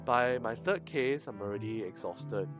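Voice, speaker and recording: A man reading visual novel lines aloud as a weary, sardonic teenage student, in a deadpan, wry way. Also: fairly low pitch, measured pace. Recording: music behind the voice.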